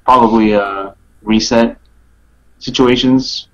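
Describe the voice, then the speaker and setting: Average pitch guy on a gaming podcast, kinda unsure, casual game talk. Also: steady tone, slow pace, highs cut off. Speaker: guest player on a gaming podcast. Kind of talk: casual chat, game analysis.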